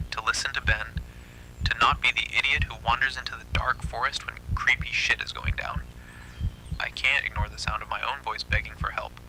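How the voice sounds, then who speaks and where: A man narrating a scripted first-person horror story in a hushed, breathy, suspenseful way. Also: mid-range voice, slight background noise, rough recording.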